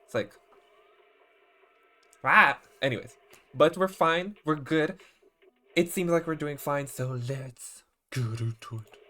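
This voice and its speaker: A man giving casual livestream reaction commentary as a game streamer, expressive and exclamatory, often halting mid-thought. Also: a little high. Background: game trailer music.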